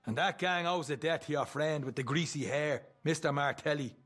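Young Irish gang rogue, cheeky, rambling, lightish pitch, western game cutscene. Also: male voice, moderate pace, fairly bright tone. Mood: cheeky, boastful. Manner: jovial, rambling, teasing. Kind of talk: video game cutscene acting.

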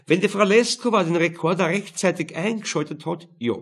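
A man voicing a calm, fat police detective in an audiobook, explaining evidence matter-of-factly. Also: sits high, fairly quick, husky, voice pushed up.

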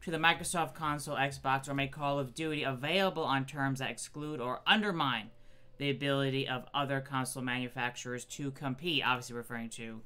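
A man in his thirties hosting a gaming news show from home, talking through a headset mic, his voice raspy and nasal from allergies, chatty with lots of ums. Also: medium pitch, fairly even intonation, fairly quick, bright, forceful tone. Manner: chatty, many ums, reads quotes from screen. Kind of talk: casual news commentary. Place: home streaming room.

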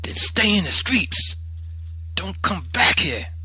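Man voicing a stern mother, raised commanding pitch. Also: expressive, moderate pace, pushed and bright, husky, no echo, soft background music, full character voice. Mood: harsh, threatening. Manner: commanding, deadly firm, Southern colloquial phrasing. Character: stern, unyielding mother of a young boy. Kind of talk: dramatized audiobook narration.

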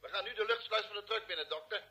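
A man's voice: high voice, fast, sharp tone, breathy edge, exaggerated voice. An actor playing a space crewman calling in over a radio link in a Dutch radio drama. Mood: urgent.

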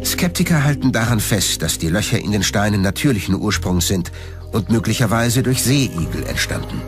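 A male documentary narrator speaks in a fairly low voice with very varied intonation. He reads a prepared script, and music plays behind him. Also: big pitch swings, normal speed.

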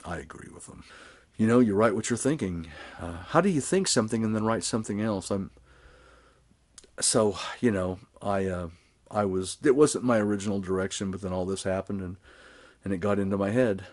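A man's voice: lowish voice, a little fast, a little mellow. A man in his sixties rambling to a camera held at arm's length in a small garage workshop, blunt and profane, with many restarts. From born and raised in Germany. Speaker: vlogger. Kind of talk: casual rambling monologue to camera. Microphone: camera held close at arm's length.

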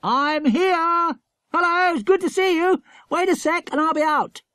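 A man's voice: very high-pitched, measured pace, pushed and bright, no echo, studio quality, voice pushed up, heavily acted. A man with an English accent voicing a keen, plucky, boyish schoolboy adventurer in a storybook. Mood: calling out. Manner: eager, boyish.

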